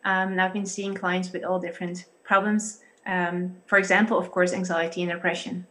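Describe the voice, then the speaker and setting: A woman in her thirties with a Dutch accent, a pastor and psychologist explaining things carefully and haltingly over a video call. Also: on the low side, little pitch movement, a bit bright. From Netherlands. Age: 30s.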